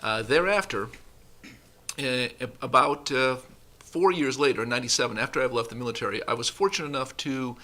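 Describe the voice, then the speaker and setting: Bright-voiced businessman on a panel. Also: mid-range voice, brisk. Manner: earnest, uneven pace, restarts. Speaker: company vice president, panelist. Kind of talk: conference panel talk. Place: conference room.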